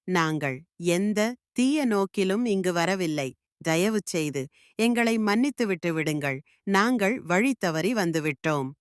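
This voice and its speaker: A woman voicing a kind, curious young village girl in a cartoon, speaking gently and earnestly. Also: fairly low pitch, animated, unhurried, clean tone, dry recording, clean studio sound.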